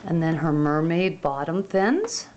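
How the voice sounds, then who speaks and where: A woman narrates a toy unboxing at an unhurried pace. Her pitch swoops up and down in a sing-song, gentle way. Faint noise and the crinkle of packaging sit behind her. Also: sing-song, lo-fi sound. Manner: slow, gentle, sing-song show-and-tell.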